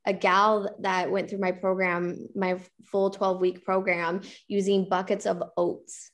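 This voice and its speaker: Enthusiastic woman in her thirties, mid-pitched. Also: level tone, measured pace. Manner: enthusiastic, conversational. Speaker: interview guest, farm fitness coach. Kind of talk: casual chat.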